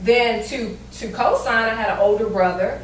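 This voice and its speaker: Woman, quiet soft voice, measured, group chat, distant mic, roomy echo. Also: on the high side. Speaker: panelist in a women's discussion circle. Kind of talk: casual group discussion. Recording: echoey room picked up from a distance, faint background hum.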